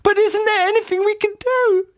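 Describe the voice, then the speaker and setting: A reader voicing a frightened, gullible old woman in a storybook, with a shrill, panicky squeal. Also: steady tone, normal speed, no room sound, studio quality. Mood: panicked. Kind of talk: storybook character voice.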